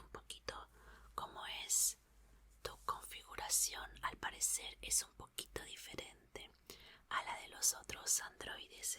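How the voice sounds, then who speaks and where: A woman playing a reassuring, curious young female mechanic in an ASMR roleplay, speaking in a soft, breathy, soothing whisper. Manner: soft, unhurried, soothing murmur with repeated phrases.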